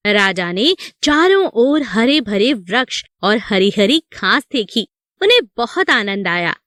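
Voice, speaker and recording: A woman narrator reading a children's story. Her delivery is unhurried with lots of melodic movement, and the recording is dry. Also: fairly high pitch, big pitch swings, a bit bright, no echo.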